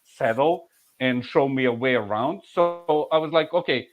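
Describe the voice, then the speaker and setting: A man in his forties from Serbia with a Serbian accent, an insurance claims manager telling his story in a relaxed interview, halting and full of restarts and soft laughs. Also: medium pitch, soft background music. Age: 40s. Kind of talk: casual interview answers. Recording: muffled, lo-fi audio.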